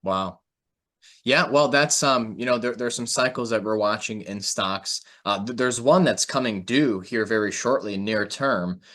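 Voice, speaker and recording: A man's voice: on the low side, measured pace, a bit bright. A man speaking casually as a podcast host and analyst, agreeable and conversational in manner. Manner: agreeable, frequent short affirmations.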